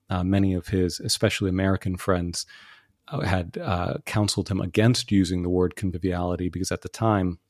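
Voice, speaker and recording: Deep gravelly man, measured explainer. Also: darkish tone, no room sound, studio quality. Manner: measured, explanatory, frequent "uh" and "um" fillers. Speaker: podcast guest, writer. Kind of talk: thoughtful interview answers.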